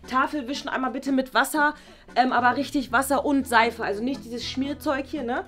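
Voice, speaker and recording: A woman's voice: high-pitched, fairly even intonation, normal speed, fairly bright tone, faint music behind. A woman voicing a scatterbrained, flustered female teacher who stumbles over her words in a toy play series. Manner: hesitant, full of "äh" and "ne?". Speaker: teacher figure Frau Bartsch.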